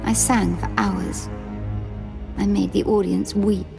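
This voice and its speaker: An actress voicing an unstable young female Sith lord, wounded and bitter, with a gravelly voice and a manner that swings from imperious rage to self-pity, in a video game cutscene. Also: mid-range voice, level tone, slow, music in the background. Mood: haunted recollection.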